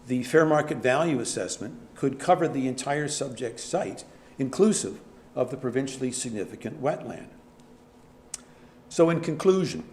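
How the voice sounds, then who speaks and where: A man's voice: medium pitch, a little mellow, a little hiss or hum. Formal man at a podium. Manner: measured, formal, respectful. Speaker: public delegate presenting. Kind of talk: formal prepared submission. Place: council chamber.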